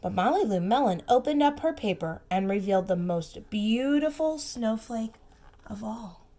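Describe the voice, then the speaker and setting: A woman reading a storybook aloud to young children, expressive and emphatic, with simple asides and questions for the listeners. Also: a little high. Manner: expressive, slow emphatic phrasing, simple child-directed comments. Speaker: preschool teacher reading aloud.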